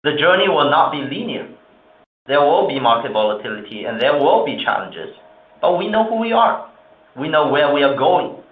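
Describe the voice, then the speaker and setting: A man speaking formally and in a measured way as a company executive on an earnings call. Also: medium pitch. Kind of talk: earnings call remarks and answers. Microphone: conference call line. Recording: roomy, narrow phone-like conference line.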